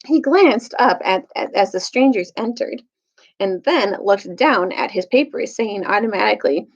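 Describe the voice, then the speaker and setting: A woman hosts an informal storytime in a medium-pitched voice. She pauses to find her words and often restarts or corrects herself as she goes. The recording is dull and lo-fi. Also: animated. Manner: halting, searching for words, self-correcting. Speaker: storytime teacher reading aloud. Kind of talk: casual recap and storybook read-aloud.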